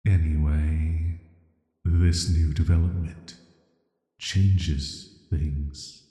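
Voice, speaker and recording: A man plays an ancient banished villain, intimate and quietly dangerous, in an atmospheric sleep-aid roleplay. His voice is very low, dark and soft. He speaks in a flat, deliberate, flirty way, surrounded by echo. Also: full character voice. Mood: calm, intrigued. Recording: echoey voice with long reverb.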